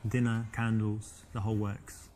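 A man's voice: lowish voice, level tone, measured pace, a little mellow, lightly acted. An actor with a London British accent playing an awkward young man trying to charm a woman, hesitant and rambling. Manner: hesitant, rambling, self-absorbed. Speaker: player character in a dating game.

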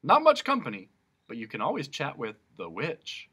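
A man's voice: medium pitch, wildly swooping pitch, normal speed, breathy edge, full character voice. A man voicing a blustering, self-important male policeman who is also judge and jailer. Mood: pompous, booming. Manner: loud self-important proclamations.

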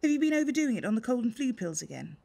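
An actress playing a sarcastic, nagging, sceptical wife in a naturalistic radio soap. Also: female voice, a little high, sing-song, rapid-fire, vocal fry, dark tone, full character voice. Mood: sarcastic, exasperated.

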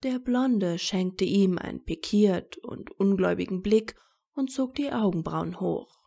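Casting: female story narrator, mellow and relaxed, unhurried measured read of scripted fiction. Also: mid-range voice, vocal fry.

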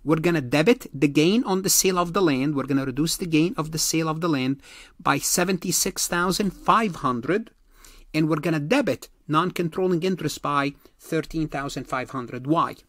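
Male accounting lecturer, slightly high voice, emphatic explainer who repeats key points, dry close recording. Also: moderate pace, a bit bright, no echo. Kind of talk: exam-prep lecture explaining worked examples.

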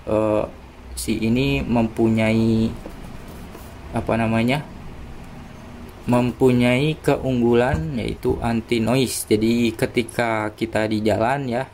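A man's voice: medium pitch, creaky, darkish tone, a little hiss or hum. A man giving casual, unscripted unboxing commentary in an informal, hesitant, thinking-aloud way. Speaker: unboxing video presenter. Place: indoors, small room at a desk.

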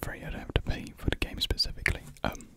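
A young British man whispering a casual, hesitant ASMR ramble in a breathy voice, very close to a desktop microphone. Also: quick pace. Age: young adult. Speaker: ASMR video creator. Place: small indoor room at home. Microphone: large USB desktop mic, very close.

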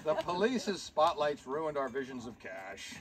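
A man's voice: a little high, sing-song, measured pace, a crowd in the background. A man voicing a grumbling puppet who recites comic rhymes theatrically in a noisy live puppet show. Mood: gleefully reciting. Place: live talent show, recorded from the audience.

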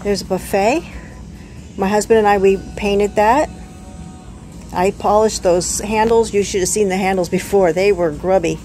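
A woman's voice: medium pitch, fairly even intonation, moderate pace, warm tone, soft background music. A woman in her fifties shows her antique booth items, chatting casually with frequent ums and self-corrections. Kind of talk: casual show-and-tell vlog. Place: living room, then car and antique mall.

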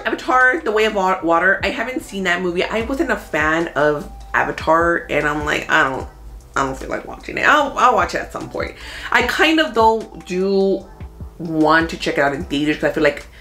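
Low-pitched woman chatting casually over music. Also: big pitch swings, normal speed. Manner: thinks out loud, informal and conversational. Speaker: movie review vlogger. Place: small room at home. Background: background music.

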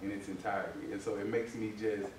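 A man's voice: on the low side, steady tone, fast. A man giving a reflective comment in a Bible class, often checking that listeners follow with small tag phrases. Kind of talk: reflective group discussion comment. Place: church meeting room, far camera. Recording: distant mic, very echoey, noisy room.

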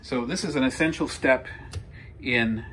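A man in his fifties from Peru talks through a recipe at a medium pitch, chatty and explanatory, often pausing with "uh" and correcting himself. He is recorded on a phone in his home kitchen, with clear background noise under his voice. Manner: explanatory, conversational, with frequent fillers and self-corrections. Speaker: home cooking channel host. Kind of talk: step-by-step cooking demonstration.

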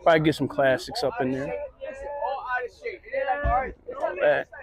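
A middle-aged man gives relaxed, chatty walking commentary at an outdoor flea market, recorded on a handheld phone. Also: mid-range voice, a little slow, crowd noise. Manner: relaxed, chatty, pointing things out. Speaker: vlogger. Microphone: handheld phone camera.

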